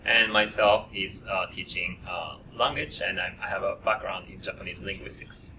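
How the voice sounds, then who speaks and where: Man running a student orientation, stops and starts a lot, bright tone, kinda far from the mic. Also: sits low. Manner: halting, frequent uh fillers. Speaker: program coordinator at an orientation. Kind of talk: presenting to students. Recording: distant mic with faint noise.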